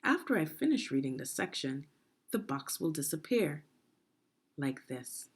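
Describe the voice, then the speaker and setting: A woman reading a children's story aloud in a deliberate, expressive teaching manner, putting questions to the listener. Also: deep voice, breathy edge. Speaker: education consultant reading a children's book aloud.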